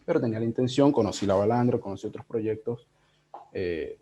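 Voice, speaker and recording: A man in his thirties with a Venezuelan accent, talking casually and reflectively as an interviewed editor, in a halting, digressive way. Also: lowish voice, dark tone, slight background noise. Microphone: video call. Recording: dull, lo-fi video call audio.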